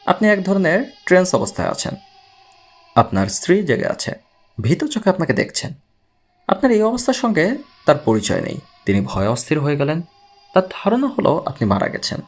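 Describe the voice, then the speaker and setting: A man acts out a patient investigator from an audiobook story. He reasons step by step and puts careful questions. The voice is slightly high and husky, with very lively intonation. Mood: explaining intently. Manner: measured, explanatory, questioning. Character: analytical, patient male investigator explaining his theory.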